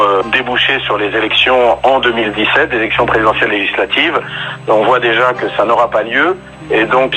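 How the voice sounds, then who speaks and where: Male political analyst, bright forceful voice, thinking aloud in long sentences, faint hum and noise behind. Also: medium pitch, low-quality recording. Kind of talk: spontaneous political commentary.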